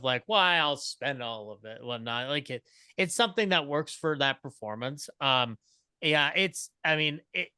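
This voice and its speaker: A man talking casually as a panelist, halting and thinking aloud as he goes. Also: mid-range voice, sharp tone, clean tone, dry recording. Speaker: podcast panelist.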